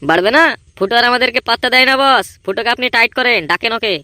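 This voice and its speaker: A man's voice: very high-pitched, fast talker, bright, forceful tone, no room sound, heavily acted. A man voicing a brash, dismissive village boy in a comedy cartoon, blunt and pushy.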